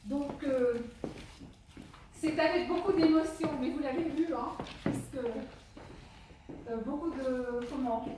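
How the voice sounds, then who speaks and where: A woman giving an opening speech at a public meeting as its chair, speaking slowly and haltingly with many pauses. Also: sits high. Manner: deliberately slow, halting delivery with many pauses. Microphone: handheld portable microphone. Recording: echoey hall, distant microphone, faint noise.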